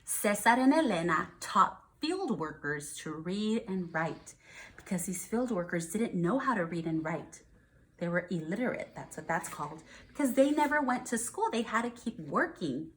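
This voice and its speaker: A woman's voice: medium pitch, sing-song, normal speed. An American woman, a first grade teacher, reads aloud to young children and explains things simply, checking in with questions.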